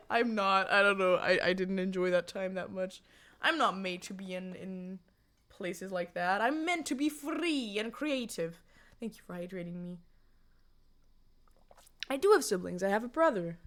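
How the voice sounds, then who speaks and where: Giggly twenty-something woman streaming from home, jokey and chatty, talking into a desk condenser mic. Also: mid-range voice. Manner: giggly, laughs often, jokey and animated. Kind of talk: casual chat, answering viewer chat. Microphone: USB condenser desk mic.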